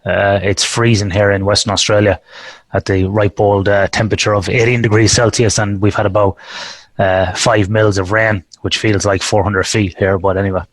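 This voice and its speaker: A man's voice: on the low side, flat delivery, moderate pace, no room sound. A man hosting an interview podcast, friendly and chatty, asking long, rambling questions. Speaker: podcast host. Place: Western Australia.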